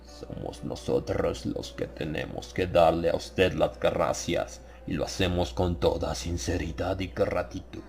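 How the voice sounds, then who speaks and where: Man doing a slow, iron bass cult brother. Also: steady tone, creaky, a little mellow, soft background music. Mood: solemn menace. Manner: slow, drawn-out, solemn. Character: menacing, iron-voiced cult brother with solemn false warmth.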